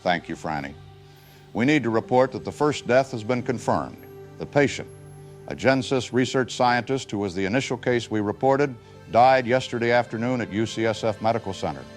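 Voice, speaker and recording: A man's voice: mid-range voice, brisk, natural voice. A man playing a sober, authoritative television news anchor in a scripted audio drama. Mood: sober, formal. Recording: music bed under the voice.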